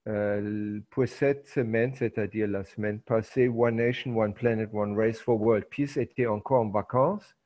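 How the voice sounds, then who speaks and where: A middle-aged man whose first language is German, giving an online lecture in a hesitant way with many filler pauses. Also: lowish voice. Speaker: teacher. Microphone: video call. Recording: muffled video call audio.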